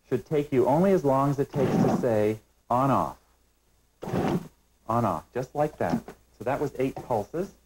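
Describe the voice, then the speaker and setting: A middle-aged man hosts a cooking show from a studio kitchen set, speaking into a lapel mic. His voice is mellow and even-pitched, and he explains each step in a calm, instructive way. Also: a little hiss or hum, poor audio. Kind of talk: scripted cooking demonstration.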